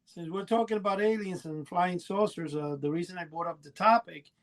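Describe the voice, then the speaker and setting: A man's voice: high-pitched, a little fast. A middle-aged man chatting casually as a podcast co-host, explaining in a rambling way and correcting himself as he goes.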